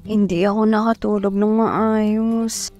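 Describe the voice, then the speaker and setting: A woman's voice: medium pitch, fairly even intonation, measured pace, dull audio, music in the background, close to an everyday voice. Actress as earnest, shy young farm woman. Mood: earnest, reflective. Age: young adult. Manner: polite, hesitant when addressing others. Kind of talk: first-person radio drama narration and dialogue.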